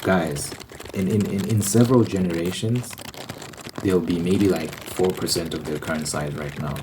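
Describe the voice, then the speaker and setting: A man with a low voice talks in a loose, rambling vlog style. He often repeats phrases to drive them home. There is clear noise behind him. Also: steady tone, moderate pace. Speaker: ASMR vlogger. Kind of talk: casual rambling monologue.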